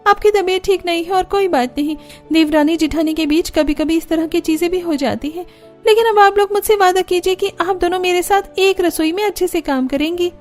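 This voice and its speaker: A kind, anxious young daughter-in-law in a cartoon family drama, played by a woman. Her voice sits very high, well above everyday talk, and she pleads in an earnest, polite way. Music plays softly behind her. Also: fairly quick. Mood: gentle, caring.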